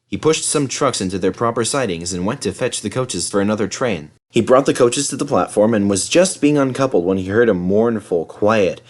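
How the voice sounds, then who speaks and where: A man narrating a children's storybook in an animated, expressive reading style. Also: lowish voice, normal speed, hardly any pauses.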